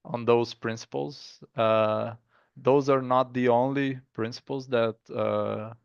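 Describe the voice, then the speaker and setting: A man's voice: mid-range voice, steady tone, takes it slowly, gravelly, clear, resonant tone, dry recording, dull audio. A man with a Brazilian accent, a guest in a casual interview, speaking thoughtfully with many fillers and restarts. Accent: Brazilian Portuguese. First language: Portuguese. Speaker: guest artist.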